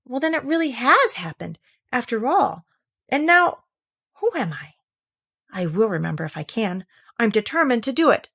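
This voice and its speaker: A woman's voice: on the high side, very animated, normal speed, vocal fry, pauses often, a bit bright, slightly rough, voice pushed up, full character voice. A woman voicing a timid, thoughtful little girl from a children's storybook, hesitant and musing aloud to herself. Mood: puzzled. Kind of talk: children's storybook character reading.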